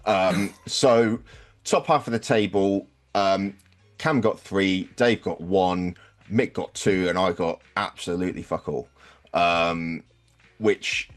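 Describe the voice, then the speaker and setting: A man's voice: low voice, takes it slowly, faint music behind. A man hosting a sports podcast, talking casually and wryly as he explains season results, with some swearing. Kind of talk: casual football podcast review.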